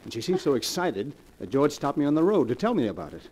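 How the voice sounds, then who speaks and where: An actor playing a worried, scheming nephew with emphatic, urgent 1940s radio mystery delivery. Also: male voice, medium pitch, sing-song, quick pace, a little mellow, breathy edge, low-quality recording. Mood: scheming, agitated. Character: scheming, worried nephew plotting against old women.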